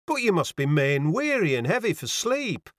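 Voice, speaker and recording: A man's voice: a little high, big pitch swings, moderate pace, a bit bright, no echo, studio quality, voice pushed up. A man voicing a fussy, kindly Yorkshire landlady in a storybook, exclaiming in deferential dialect and easily alarmed. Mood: flustered, alarmed.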